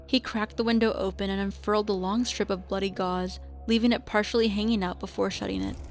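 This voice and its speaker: A woman's voice: mid-range voice, fairly even intonation, brisk. A woman reading scripted narration for a radio drama as the storyteller. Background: music.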